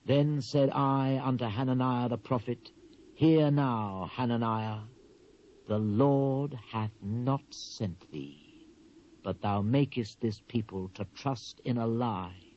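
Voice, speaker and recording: A British actor playing a solemn male prophet in a dramatised King James Bible reading, speaking in a grave, measured, declamatory way with drawn-out phrases. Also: medium pitch, darkish tone, slight background noise, close to an everyday voice. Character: solemn male Hebrew prophet proclaiming God's judgement. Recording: dull, muffled old cassette transfer.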